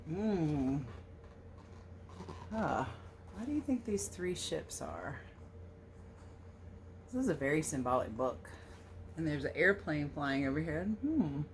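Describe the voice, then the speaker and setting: A woman teacher reading a picture book aloud to children, expressive and sing-song, with casual questioning asides. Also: low-pitched, slow, pauses often, a little hiss or hum. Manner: animated, questioning, sing-song verse delivery.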